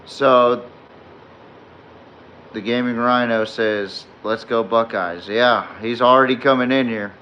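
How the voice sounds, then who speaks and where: Slightly hoarse guy doing a late night football podcast, slow and bright, kinda muffled lo-fi sound. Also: mid-range voice, steady tone, slight background noise. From Texas fan, not necessarily from Texas. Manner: rambling, filler-heavy, reads and answers chat comments. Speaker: podcast host. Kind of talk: live-streamed sports podcast recap.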